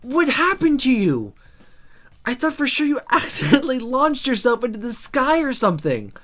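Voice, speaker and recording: A streamer voicing a lively, teasing school friend in a magic visual novel, blunt and excitable. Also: high-pitched, moderate pace, soft background music, voice pushed up, heavily acted. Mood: astonished, eager.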